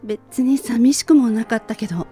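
A woman's voice: a little high, moderate pace, mellow, husky, faint music behind. Woman acting a proud, lovelorn woman in radio drama. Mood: wistful, coy. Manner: wistful, putting on a brave front. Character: lovelorn proud woman clinging to a departing lover.

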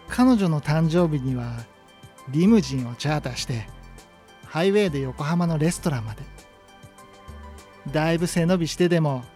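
A man's voice: on the high side, a little mellow, close to an everyday voice. A man acting a wistful young college student in a sentimental, reflective radio drama. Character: wistful young male college student narrating lost love. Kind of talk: radio drama monologue and dialogue.

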